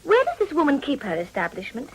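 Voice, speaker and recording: Young wife, high voice, lively intonation, proud, formal, insistent, devoted, period radio drama. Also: female voice, quick pace, exaggerated voice. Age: young adult. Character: determined young upper-class wife, proud, devoted, morally indignant.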